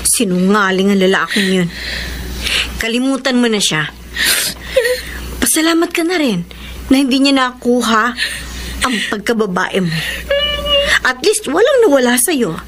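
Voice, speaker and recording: A high, animated female voice playing an outspoken elder sister in a Filipino radio drama. She is protective and frank, over faint background noise. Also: a little slow, long pauses. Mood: scolding, firm.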